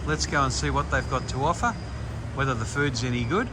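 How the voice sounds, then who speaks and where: An older man vlogging casually to camera, pausing often with ums and restarts. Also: medium pitch, background noise. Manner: hesitant, many ums and restarts. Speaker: travel vlogger.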